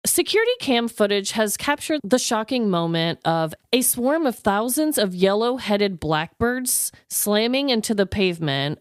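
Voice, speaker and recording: A woman co-hosting a podcast, chatting and reading stories aloud in an animated, exclaiming way. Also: medium pitch, dry recording, studio quality. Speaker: podcast co-host.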